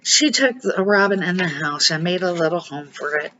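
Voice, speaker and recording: Bright-voiced older woman reading a storybook. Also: lowish voice, expressive, normal speed, muffled recording, slight background noise. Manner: amateur, homely read-aloud delivery. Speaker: storybook reader. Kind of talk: reading a children's storybook aloud.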